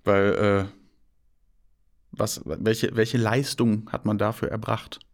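A man's voice: lowish voice, flat delivery, slow. A man born near Paris to German parents, co-hosting a podcast in casual chat, with many hesitations and fillers. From born near Paris, raised by German parents.

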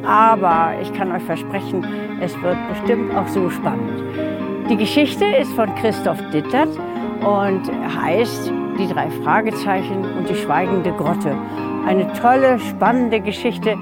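A woman's voice: mid-range voice, expressive, a little slow. A woman giving an informal welcome to listeners as a host. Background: loud guitar music.

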